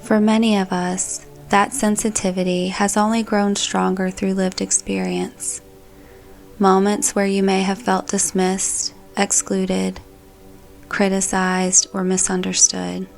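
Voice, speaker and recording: A woman leads a guided meditation with a calm, soothing delivery. Her voice sits at a medium pitch and she speaks gently, as a guide reading prepared narration. Music plays faintly behind her. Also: slow pace, vocal fry. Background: background music.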